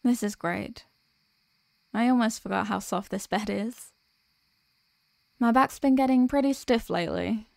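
Female performer as sweet workaholic girlfriend for ASMR roleplay. Highish voice, unhurried, soft, drowsy and stubborn, close and dry. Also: gravelly, no echo. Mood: sleepy, giving in.

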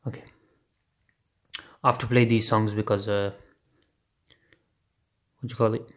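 A man chats his way through an unboxing in a flat, offhand voice, dropping the odd swear word as he thinks aloud. He is recorded on a phone held above a table at home late at night. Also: on the low side, a little slow. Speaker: unboxing video host. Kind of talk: casual unboxing chat. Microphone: phone camera filming hands on a table.

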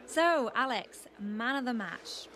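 An actress playing a polished post-match sports interviewer in a video game. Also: female voice, a little high, lively intonation, takes it slowly, over music. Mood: professional.

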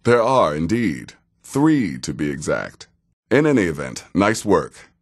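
A cynical male assassin from a fantasy video game, speaks in a very deep voice. He takes his time, deliberate and dry. His deadpan delivery still swings widely in pitch, giving the sardonic wit a lively contour. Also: full character voice. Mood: measured, businesslike. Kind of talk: video game character dialogue.

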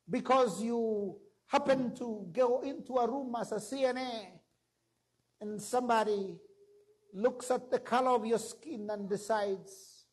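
A man's voice: very high-pitched, husky, low-quality recording. A middle-aged man preaching a sermon from a church stage into a handheld mic, emphatic and rising to exclamations. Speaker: pastor.

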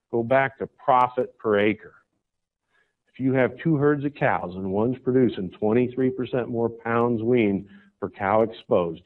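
A man from the United States giving a folksy, persuasive conference talk, often checking in with the audience. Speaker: conference speaker, cattle breeder. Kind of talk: conference presentation. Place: conference hall stage. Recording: tinny, phone-like low-fi recording.

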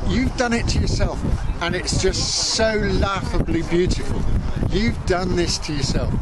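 Middle-aged male activist, high pitch, emphatic and declamatory delivery, recorded outdoors under very loud wind noise. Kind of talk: impassioned outdoor political talk to a small group. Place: open grassy field, outdoors. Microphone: camera microphone a few steps away. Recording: very noisy windy outdoor camera audio. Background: loud wind and ambient noise.

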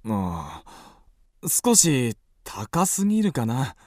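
A man's voice: medium pitch, huge pitch swings, drawn-out words, darkish tone, no room sound, clean studio sound, full character voice. An actor playing a dignified, cautious father in a radio drama, with a formal, authoritative manner. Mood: doubtful. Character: dignified father, stern and cautious master of the house.

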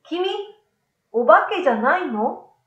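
A woman's voice: sits high, animated, slow pace, echoey room, highs cut off, voice pushed up. A woman voicing a young schoolboy in a storybook reading, childlike and exclamatory, self-assured yet easily startled.

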